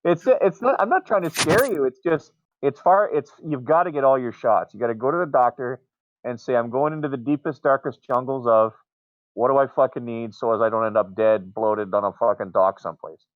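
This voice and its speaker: A man's voice: medium pitch, fairly quick, no echo. A man in a casual voice chat, talking bluntly and swearing constantly while rambling on with warnings. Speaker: group chat member. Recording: muffled lo-fi voice chat mic.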